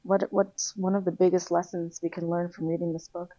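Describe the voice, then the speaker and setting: A woman's voice: lowish voice, level tone, brisk, mellow, dry recording. A woman hosting a talk show interview, encouraging her guest with frequent affirmations. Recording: dry, slightly dull sound.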